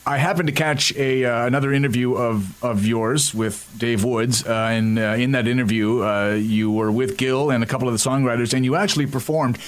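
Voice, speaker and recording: Man, from French Canada, interview host, rambling, filler-heavy, mid-pitched voice. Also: a little fast, hardly any pauses. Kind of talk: interview questions.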